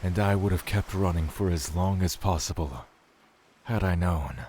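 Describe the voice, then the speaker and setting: An actor playing a brooding, love-struck male god who speaks in a measured, solemn, guarded way in an audio drama. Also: sits low, a little mellow, slight background noise, close to an everyday voice. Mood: wistful, tender. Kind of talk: dramatic audio fiction acting, reflective narration.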